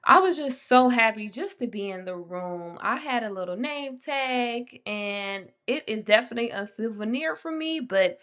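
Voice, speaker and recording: A woman's voice: on the high side, expressive, moderate pace, few pauses, a bit bright. A woman hair artist from narrating her vlog in a chatty, enthusiastic, informal way.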